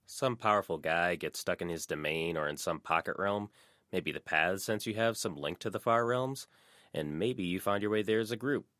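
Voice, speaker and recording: A man's voice: sits low, normal speed, a bit bright, no room sound, studio quality. A man voicing a speculative teenage schoolgirl who theorises eagerly in an audiobook. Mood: speculating. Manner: musing, theorising.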